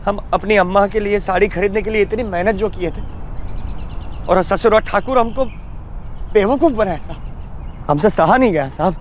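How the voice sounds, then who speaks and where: An actor playing a poor, indignant but deferential village labourer in a TV crime drama. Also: male voice, high voice, normal speed, long pauses, a little hiss or hum, lightly acted. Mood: aggrieved. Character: aggrieved poor village labourer recounting his wrongs. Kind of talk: television crime drama acting.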